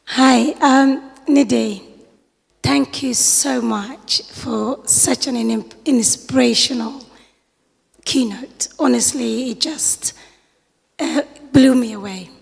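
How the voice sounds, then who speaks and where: A woman with a high voice that has a gravelly fry to it, asking an earnest question from the floor of a conference. She talks in a searching way, with pauses and fillers, and her voice rings on in the long reverb of a lecture hall. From Somalia, grew up in the UK. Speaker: audience member asking a question.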